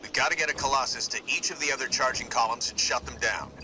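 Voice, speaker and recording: An actor playing a determined male marine squad leader in a sci-fi war game, brisk and commanding. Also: mid-range voice, over music, natural voice, poor audio. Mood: resolute. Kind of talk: video game military acting.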